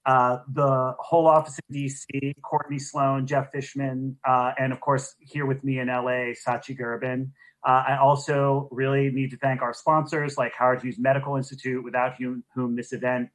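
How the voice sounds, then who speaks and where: A man's voice: medium pitch, flat delivery, normal speed, rough recording. A man speaking as a program director, giving an informal welcome and housekeeping notes as co-host of an online event on a video call.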